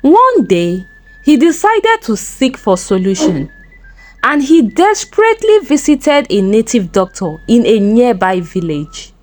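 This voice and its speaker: High-voiced, animated woman storyteller. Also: unhurried. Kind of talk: scripted folktale narration.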